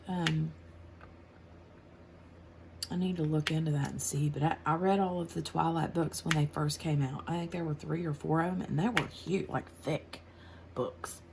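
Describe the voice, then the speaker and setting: A woman in her forties with an American accent, unhurried and chatty as she answers questions while crafting at home. Her voice sits a little low and has a gravelly edge, and she laughs easily between thoughts. A faint hum of room noise lies under the recording. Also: fairly even intonation. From United States. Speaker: craft channel host. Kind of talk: casual chat while crafting.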